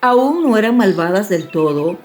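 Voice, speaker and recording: A woman storyteller giving an expressive, measured narration of a dramatized fairy-tale audiobook. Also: mid-range voice. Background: music.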